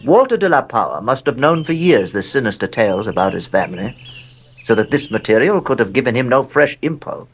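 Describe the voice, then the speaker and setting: An actor playing a skeptical, inquisitive heir to a cursed estate, musing and politely dismissive, in audio drama dialogue. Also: male voice, medium pitch, very animated, brisk, muffled recording, slight background noise, natural voice. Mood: puzzled, probing.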